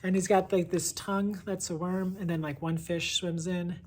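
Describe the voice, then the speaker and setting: Woman, notably low voice, informal book-review vlogger, effusive and chatty, some background noise. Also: steady tone, normal speed. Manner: gushing, effusive, conversational. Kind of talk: casual, enthusiastic book review to camera.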